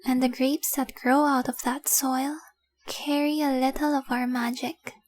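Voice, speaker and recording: A woman playing a devoted young dragon-blooded fiancée in a romantic audio roleplay, speaking softly, earnestly and adoringly. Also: high-pitched, level tone, unhurried. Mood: soft, confiding. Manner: soft, earnest, adoring, often dropping to breathy whispers.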